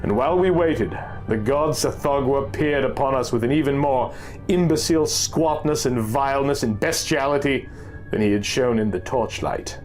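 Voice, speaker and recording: A man reading a first-person pulp horror story as a narrator, in a formal, measured, dramatic literary style. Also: medium pitch, a little mellow. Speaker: audiobook narrator. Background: music.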